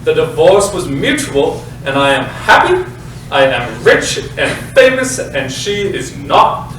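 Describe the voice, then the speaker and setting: Guy doing a self-important stage playwright, big dramatic swings in pitch, noisy echoey hall. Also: big pitch swings, slow, fairly bright tone, recorded from a distance, exaggerated voice. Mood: defensive, boastful. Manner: grandiose, declamatory, overemphatic. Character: pompous self-important male playwright, bitter about his ex-wife. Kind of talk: theatrical narration in a comic staged reading.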